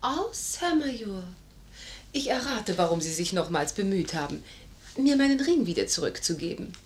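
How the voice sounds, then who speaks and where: A woman's voice: mid-range voice, sing-song, moderate pace, darkish tone, echoey room, a little hiss or hum, natural voice. An actress playing a proud, spirited young noblewoman in a 1950s German radio play, formal and pointed in her stage diction. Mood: cool, brisk.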